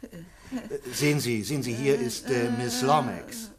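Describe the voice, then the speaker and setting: An actor playing a composed, inquisitive doctor turned sleuth in a German radio mystery, courteous and probing. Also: male voice, mid-range voice, a little slow, a loud crowd, lightly acted. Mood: careful, concerned.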